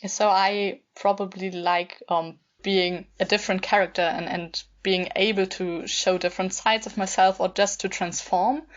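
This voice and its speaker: A woman's voice: mid-range voice, steady tone, normal speed, hardly any pauses. Young woman from Germany, casual chat, podcast guest, hesitant phrasing, muffled lo-fi recording. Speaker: podcast guest, amateur theater group member.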